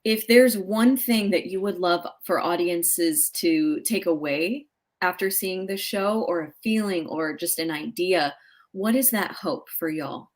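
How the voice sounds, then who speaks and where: A woman's voice: lowish voice, a little slow. A woman hosting a casual live local talk show with an effusive, enthusiastic manner. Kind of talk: casual upbeat live interview.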